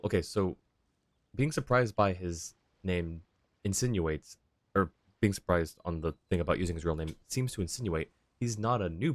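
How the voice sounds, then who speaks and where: A man's voice: sits low, no room sound, clean studio sound. A man doing casual livestream commentary on a video game, rambling and deliberating aloud as he goes. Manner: rambling, deliberating, self-correcting. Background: soft game music.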